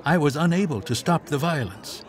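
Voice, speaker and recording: A man's voice: a little high, animated, normal speed, background noise. A man giving an earnest, heartfelt dubbed voice-over for an interviewee.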